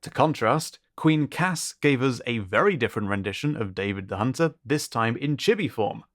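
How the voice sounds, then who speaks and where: A man's voice: mid-range voice, animated, moderate pace, no echo. Man hosting a showcase, dry close recording. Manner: appreciative, descriptive. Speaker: host of an art contest showcase. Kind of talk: scripted showcase commentary.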